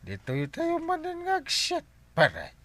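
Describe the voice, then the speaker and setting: An actor with an Ilocano accent playing a bossy, boisterous, drink-loving village captain in a radio comedy drama. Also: male voice, very high-pitched, slow pace, no room sound, full character voice. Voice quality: animated, swooping delivery. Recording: music behind the voice.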